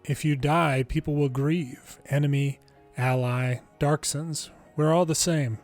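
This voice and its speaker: A man's voice: a little high, steady tone, a little slow, darkish tone. A man narrating a video game story, reading scripted dialogue and text aloud. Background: faint game music.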